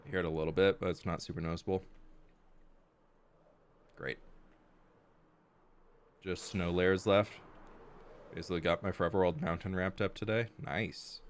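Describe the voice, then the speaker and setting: Laid-back low-voiced man streaming a game. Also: steady tone, moderate pace, long pauses, smooth. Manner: laid-back, reads chat and answers between building. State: stuffed-up nose. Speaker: Minecraft streamer. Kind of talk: casual live-stream chat while building.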